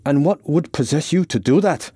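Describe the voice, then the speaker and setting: A fussy male caretaker, put on by a man narrating in an audiobook tale. He is pedantic and easily wound up, with a raised, exasperated pitch. The sound is dry and close. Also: animated, normal speed, dark tone, breathy edge, no echo. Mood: indignant.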